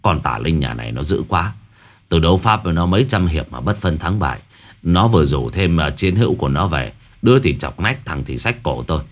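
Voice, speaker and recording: A gruff, blustering fraud of a sorcerer mutters in a very deep, low voice, as a male narrator puts him on. He speaks heavily and quietly. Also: expressive, a little fast. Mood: panicked.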